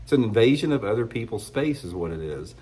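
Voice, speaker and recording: Midwestern man, middle-aged, congested, fairly low voice; casual blunt talk to camera with frequent restarts. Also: very animated, fast, darkish tone, husky, low-quality recording. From United States. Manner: rambling, blunt, restarts often. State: congested from a cold. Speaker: fishing channel host. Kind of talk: casual opinion monologue to camera.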